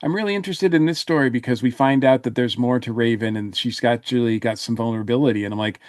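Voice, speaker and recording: A man talking casually as a podcast panelist, giving an animated review and acting out imagined quotes. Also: mid-range voice, fast talker, no echo, studio quality.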